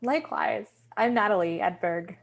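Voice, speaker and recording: A woman's voice: mid-range voice, moderate pace, creaky. A woman playing a hesitant, self-doubting new burlesque performer in a naturalistic table read, tentative and understated. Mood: nervous, unsure.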